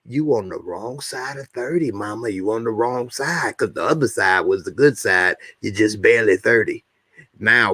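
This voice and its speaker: Joking man in his forties, low voice. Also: bright, forceful tone, no room sound. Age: 40s. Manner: joking, repeats lines for emphasis. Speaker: YouTube show host. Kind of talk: casual chat to viewers.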